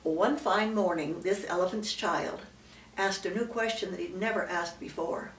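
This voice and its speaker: A woman's voice: fairly low pitch, normal speed. Elderly woman reading aloud in a roomy space. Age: older, great-grandmother. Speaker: storyteller reading to a child. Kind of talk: read-aloud children's storybook narration with asides. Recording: roomy, distant mic, faint background noise.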